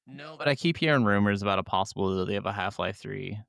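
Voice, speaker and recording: Male podcast co-host, casual delivery, fairly deep and clear tone. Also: expressive, rapid-fire, fairly bright tone, no echo, studio quality. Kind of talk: casual gaming podcast chat.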